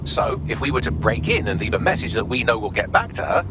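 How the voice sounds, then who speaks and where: An actor playing a witty, smug AI assistant in a video game, with a glib, wry, wordy delivery. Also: male voice, on the low side, fast, noisy background, lightly acted. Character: witty, smug male AI assistant, cheeky and sardonic.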